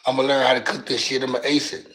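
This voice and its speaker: A middle-aged man with a raspy voice and a Louisiana accent, chatting on his livestream in slangy, rambling talk and often tripping over his words. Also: mid-range voice, little pitch movement, quick pace, a bit bright, reverberant. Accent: Louisiana Southern US. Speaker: livestream host. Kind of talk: casual livestream chat.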